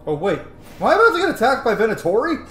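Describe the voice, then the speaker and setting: A man's voice: very high voice, wildly swooping pitch, fast, fairly bright tone. A man hosting a let's play with casual, chatty commentary, thinking and reacting aloud. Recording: roomy echo, game music behind.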